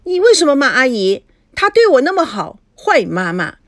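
A woman voicing an outspoken little girl in a story, loud, whining and petulant. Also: high-pitched, expressive, normal speed, no echo, clean studio sound, pitched above the performer's own voice. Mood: petulant protest. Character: little girl, pouty, demanding, outspoken daughter.

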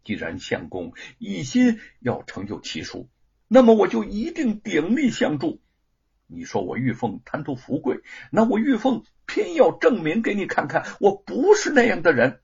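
A man voicing a devoted young wife, speaking high and earnest with a husky voice. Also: huge pitch swings, moderate pace, a little mellow, heavily acted. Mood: resolute. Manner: high, pleading, tearful. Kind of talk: storytelling. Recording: dull lo-fi recording.

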